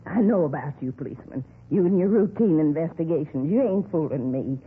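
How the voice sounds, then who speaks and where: Casting: man as gossipy older busybody woman for old-time radio crime drama, very animated, tinny aged sound. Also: on the high side, a little fast, natural voice. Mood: eager, nosy. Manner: rambling, confiding, gossipy. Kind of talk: 1950s radio acting. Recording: thin, noisy vintage radio recording.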